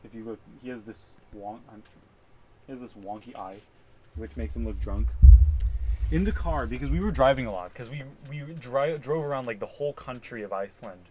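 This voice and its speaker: A young man co-hosting a podcast, easygoing and quick to laugh. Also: medium pitch, crowd noise, poor audio. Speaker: podcast co-host. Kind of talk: casual chat.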